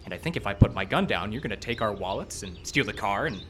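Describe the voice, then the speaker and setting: Bright, excitable hot-headed man played by an actor. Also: lowish voice, fast talker, a little hiss or hum. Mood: suspicious. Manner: excitable, stammering, accusing. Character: hot-headed, suspicious, desperate aspiring filmmaker with a gun. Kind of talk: live old-time radio style acting.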